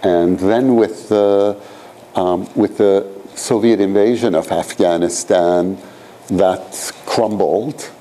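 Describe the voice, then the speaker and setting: A man's voice: sits low, steady tone, slow, mellow, a little hiss or hum. A man in his sixties from Iran, leading a graduate seminar and lecturing in a loose, rambling way full of fillers. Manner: rambling, filler-heavy, frequent "you know" and "frankly". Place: lecture room at a graduate school.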